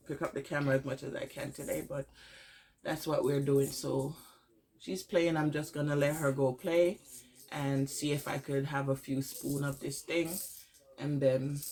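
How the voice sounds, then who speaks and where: A young woman with a cold, congested and tired, chatting to her camera at home in a casual vlog update, halting with lots of fillers. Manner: low-energy, tired, halting with many fillers. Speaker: vlogger.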